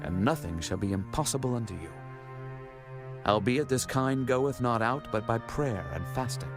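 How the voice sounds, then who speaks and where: Messianic teacher played by a man: medium-pitched, solemn, commanding, soft music beneath archaic scripture lines. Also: lively intonation, normal speed, close to an everyday voice. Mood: earnest teaching. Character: Jesus, authoritative adult male teacher and messiah. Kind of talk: dramatized scripture acting, archaic English.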